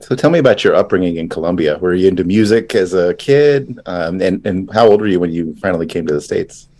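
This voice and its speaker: A man's voice: low-pitched, expressive, brisk, darkish tone. A man hosting a podcast, opening with a scripted, upbeat introduction and asking interview questions. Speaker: podcast host.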